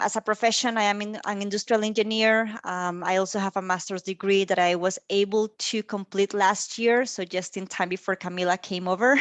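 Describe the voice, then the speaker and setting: A woman in her thirties, from Costa Rica, talks through slides for colleagues on a video call. Her pitch sits mid-range for a woman, and she fills pauses with a lot of ums. The sound is dry and close, with no room around it. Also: no echo. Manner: warm, chatty, filler-heavy with frequent ums. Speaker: guest speaker. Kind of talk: online presentation to colleagues.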